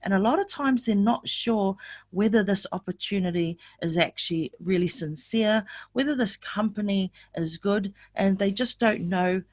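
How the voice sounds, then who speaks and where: A woman's voice: medium pitch, normal speed, darkish tone, dry recording. An older woman from New Zealand presenting an online sales webinar, upbeat and asking her audience lots of questions.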